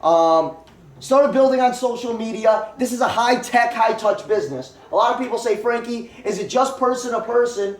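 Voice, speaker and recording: A man in his twenties giving a joking, fast and repetitive motivational pep talk at a home meeting in a packed living room. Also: extremely high pitch, expressive. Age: 20s. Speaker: network marketing presenter. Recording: echoey room, voice from far off.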